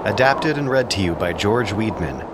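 A man narrating a scripted ancient epic as a measured, solemn storyteller. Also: lowish voice, big pitch swings, heavy background noise. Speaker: narrator and adapter. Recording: music clearly behind the voice.

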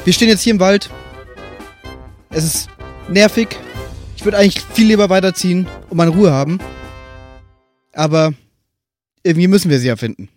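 A man's voice: sits high, normal speed, pauses often, a little mellow, faint music behind, natural voice. A man playing a grumpy, impatient, pushy small woman adventurer in an improvised tabletop game. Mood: annoyed. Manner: irritated, pushy.

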